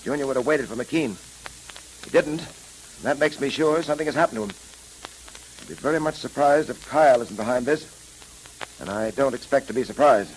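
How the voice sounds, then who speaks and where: Resolute police detective male actor, 1930s radio acting, deliberate and earnest, medium voice, slight hiss on the recording. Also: mid-range voice, close to an everyday voice, rough recording. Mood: grim.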